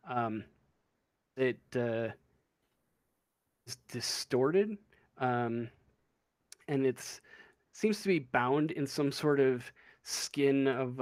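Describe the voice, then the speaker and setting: A man's voice: medium pitch, darkish tone, no room sound. A man narrating a tabletop role-playing game as game master, improvising and searching for his words.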